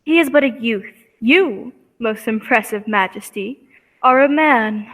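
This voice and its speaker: A woman's voice: on the high side, a little slow, fairly bright tone. A woman acting a devoted, brave young Earth heroine in an amateur radio serial, with earnest melodrama. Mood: coy, flattering.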